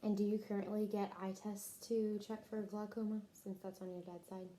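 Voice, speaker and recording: A woman's voice: mid-range voice, flat delivery, moderate pace, dark tone, slight background noise. A woman interviewing someone for a health history, working methodically through prepared questions and briefly acknowledging answers. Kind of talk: scripted clinical questionnaire interview.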